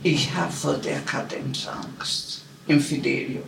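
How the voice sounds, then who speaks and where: Woman, nineties, unhurried dramatic storyteller; roomy, slightly noisy interview recording. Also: low voice, steady tone, gravelly. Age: 90s. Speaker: retired opera singer. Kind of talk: anecdotal reminiscing in an interview. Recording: roomy, distant, noisy archive interview tape.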